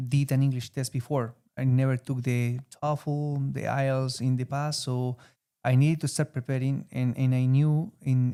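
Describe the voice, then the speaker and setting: Chilean man, smooth and mellow voice. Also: medium pitch. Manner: halting, searching for words with frequent fillers. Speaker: guest, former student. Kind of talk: interview answers.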